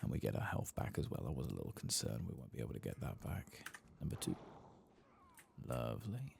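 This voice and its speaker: Male ASMR gaming host: extremely low, crackling vocal fry, hushed delivery, faint background noise. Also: big pitch swings, fast talker. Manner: hushed, soft-spoken, at times whispering. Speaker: host of a gameplay channel. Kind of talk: soft-spoken ASMR video game commentary.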